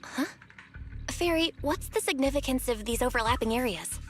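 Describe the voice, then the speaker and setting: High-voiced caring young game heroine. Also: female voice, expressive, normal speed, faint music behind. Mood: thoughtful, curious. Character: caring, bossy young woman, a sister figure. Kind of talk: video game voice acting.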